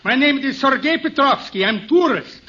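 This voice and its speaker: A blunt Russian spy posing as a tourist in a radio comedy. His very high, swooping voice has a thick accent and broken English, under heavy recording noise. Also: lively intonation. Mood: bluntly insistent. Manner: slow, deliberate, blunt. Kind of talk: old-time radio comedy acting. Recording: very noisy, dull 1950s radio recording.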